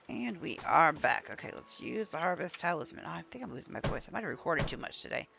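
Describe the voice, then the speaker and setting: A woman's voice: sits low, vocal fry. A woman giving casual commentary as she plays a video game, thinking aloud and reading out menu items. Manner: thinks aloud while playing, reads menus. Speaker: gameplay streamer.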